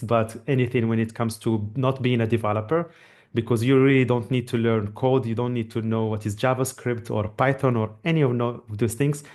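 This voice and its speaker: A man's voice: medium pitch, a little mellow. A man from Morocco hosting a live online webinar, speaking in a friendly, encouraging way with frequent fillers and restarts, through a computer mic. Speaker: webinar host and AI trainer.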